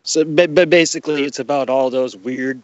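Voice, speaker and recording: A man speaking as an interview guest, explaining his own work in a casual, halting, conversational way. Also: medium pitch, dry recording. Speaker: podcast guest, himself a podcaster and presenter.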